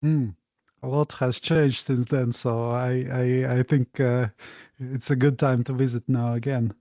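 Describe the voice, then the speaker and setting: A man's voice: mid-range voice, flat delivery, unhurried, mellow, no room sound, lo-fi sound. A man hosting a podcast interview, speaking hesitantly with many fillers. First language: Latvian. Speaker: podcast host.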